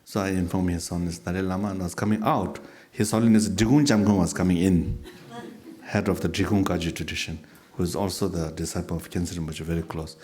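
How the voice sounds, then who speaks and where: Deep, mellow-voiced man teaching gently. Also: fairly even intonation. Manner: unhurried, halting, gently humorous. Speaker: Buddhist teacher. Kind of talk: dharma talk with storytelling.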